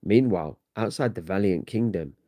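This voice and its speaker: A man's voice: sits low, lively intonation, moderate pace, mellow. A man giving a theatrical, grandiose scripted narration of a comic-book story. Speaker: narrator of a comic read-along.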